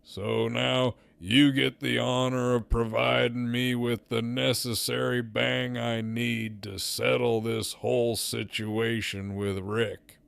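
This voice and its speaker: A man acts out an intimidating gang boss for an audio drama. He takes his time over every profane phrase, sardonic and smug, with a gravelly creak under the voice. The sound is intimate and dry. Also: medium pitch, level tone, fairly bright tone, no echo, full character voice. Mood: smug, commanding. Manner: slow, drawling, deliberate, profane.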